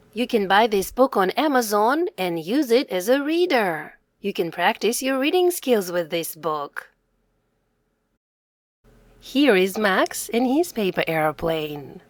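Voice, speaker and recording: A woman's voice: mid-range voice, no room sound, clean studio sound. A woman reading a children's story aloud in simple, separated phrases with a teacherly manner.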